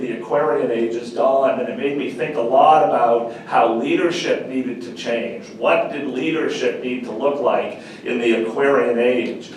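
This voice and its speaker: A middle-aged man speaks earnestly at a measured pace, repeating key phrases for emphasis. His voice sits at an ordinary pitch and is heard from across a very echoey university meeting room. A faint room hum sits behind him. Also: far from the mic. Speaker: panel speaker. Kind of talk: reflective public talk. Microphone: far camera.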